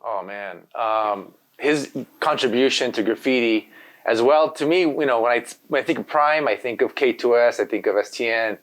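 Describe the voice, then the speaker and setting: A man's voice: mid-range voice, moderate pace, a bit bright. A man from Los Angeles whose first language is Spanish, a graffiti artist guest chatting casually in an interview, rambling with lots of "you know.". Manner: laid-back, rambling, full of "you know".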